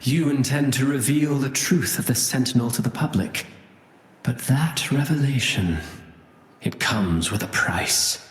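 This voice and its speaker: Guy playing ominous inquisitor in a game, really slow and grave, lots of echo, music under it. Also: medium pitch, slight background noise, close to an everyday voice. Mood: grave warning. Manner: grave, deliberate, poetic. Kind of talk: dramatic game voice acting. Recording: echoey, reverberant voice over music.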